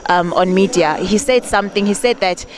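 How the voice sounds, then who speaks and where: A woman's voice: mid-range voice, moderate pace. A Zimbabwean woman gospel singer being interviewed outdoors, speaking earnestly and laughing often. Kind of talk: on-the-street interview. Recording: crowd noise behind.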